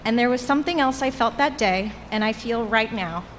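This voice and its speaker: A woman in her late twenties whose first language is Portuguese, giving an earnest, heartfelt graduation speech from a podium. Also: fairly high pitch. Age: late 20s. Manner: earnest, heartfelt, measured delivery. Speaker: graduation speaker. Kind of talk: commencement speech. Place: graduation ceremony venue. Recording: reverberant hall with faint ambient noise.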